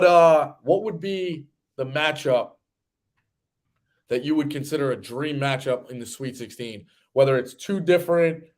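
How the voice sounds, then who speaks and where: A man in his thirties hosting a sports betting show, talking in a casual, rambling, conversational way. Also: on the high side. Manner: rambling, conversational, occasional swearing.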